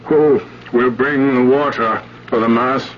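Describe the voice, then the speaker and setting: An actor playing a sinister renegade priest in a 1970s radio drama, solemn, eerie and intoning. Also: male voice, medium pitch, steady tone, unhurried, background noise, lightly acted. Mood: grave. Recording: dull 1970s radio, music underneath.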